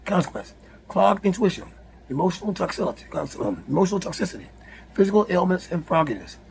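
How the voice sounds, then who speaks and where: A man with a raspy, hoarse voice, reading a numbered list aloud with casual asides, often stumbling and rereading words. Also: high voice, big pitch swings, a little fast, darkish tone, slight background noise, low-quality recording. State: hoarse from yelling. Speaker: spiritual video host.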